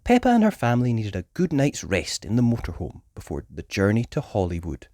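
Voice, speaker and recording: A woman reading a children's story aloud as an expressive storyteller. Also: rumbling low, brisk, no room sound, studio quality.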